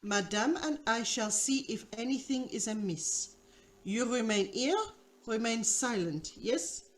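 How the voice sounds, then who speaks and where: A French governess in a radio drama, her voice high and unhurried. She is gently firm and soothing, with a French accent.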